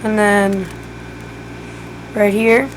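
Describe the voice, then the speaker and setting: Hoarse woman with a flat delivery. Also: mid-range voice, extremely slow, a little hiss or hum, low-quality recording. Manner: casual, thinking aloud while building. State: losing her voice. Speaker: gaming tutorial creator. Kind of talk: casual step-by-step game tutorial.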